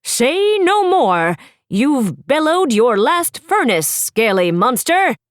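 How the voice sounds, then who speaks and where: A woman's voice: high voice, very animated, slow pace, no room sound, clean studio sound, voice pushed up, heavily acted. Woman doing a pompous teen prince. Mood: boastful. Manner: pompous and theatrical. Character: vain, showy teenage prince boy. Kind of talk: storybook read-aloud.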